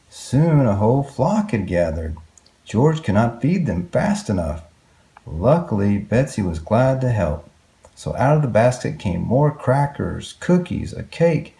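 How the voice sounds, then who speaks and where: A man's voice: mid-range voice, creaky, dark tone. A man reading a picture book aloud to young children, expressively, with exclamations and questions to his listeners. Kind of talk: read-aloud picture book narration with asides.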